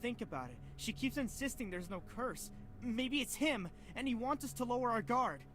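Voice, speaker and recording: An actor playing a paranoid, desperate man turning violent in a horror game, agitated and accusing, swinging from muttering to yelling. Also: very high voice, expressive, normal speed, slight background noise. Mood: suspicious. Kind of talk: dramatic visual novel voice acting. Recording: music playing under the voice.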